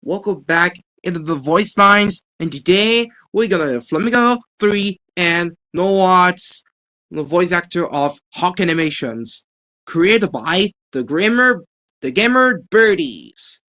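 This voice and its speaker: A woman giving a casual, halting introduction and sign-off as an amateur voice actor and host of a voice reel. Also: lowish voice, expressive, a bit bright.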